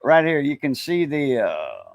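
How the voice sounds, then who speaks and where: A man's voice: a little high, very animated, a little slow, no echo, clean studio sound. A man about to turn sixty chatting casually on a live stream, laid-back, laughing easily and using many fillers. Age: 50s. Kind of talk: casual chat.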